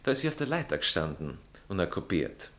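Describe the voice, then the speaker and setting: A man's voice: low voice, sing-song, quick pace, a bit bright. A man playing a snobbish, narrow-minded baron in a satirical comedy, speaking with an Austrian accent and a condescending, colloquial drawl. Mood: amused disdain. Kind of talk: satirical stage comedy acting.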